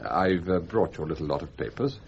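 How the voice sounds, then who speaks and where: A meek clerk in a radio play. He speaks hesitantly and apologetically, his husky voice rising and dipping freely, on a slightly muffled recording. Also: deep voice, lively intonation, fairly quick, heavily acted. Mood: hesitant, deferential. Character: timid, deferential male judge's clerk. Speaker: actor in a radio drama. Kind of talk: BBC radio drama acting.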